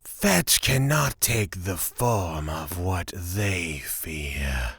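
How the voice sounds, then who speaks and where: Gravelly, very slow god of fear. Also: male voice, low voice, no room sound, full character voice. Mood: quiet menace. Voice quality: gravelly, husky. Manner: slow, drawn-out, menacing calm. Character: sinister, drawling god of fear, fond of his monster. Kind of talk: fantasy audio drama acting.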